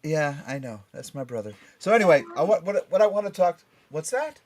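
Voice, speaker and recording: A man's voice: a little high, sing-song, fairly quick, a crowd in the background. A man hosting a casual panel show, bantering and introducing topics, often repeating phrases for emphasis. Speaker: podcast and radio show host.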